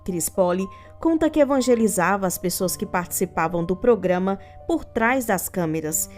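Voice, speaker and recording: A woman's voice: medium pitch, expressive, normal speed, darkish tone, soft background music. A woman reading a scripted, news-style narration as a reporting voice-over.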